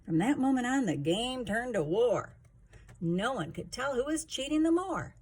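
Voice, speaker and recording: A woman hosting storytime, reading a rhyming children's picture book aloud with expressive, rhythmic delivery. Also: on the high side, moderate pace, slightly rough. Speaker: storytime host, reader.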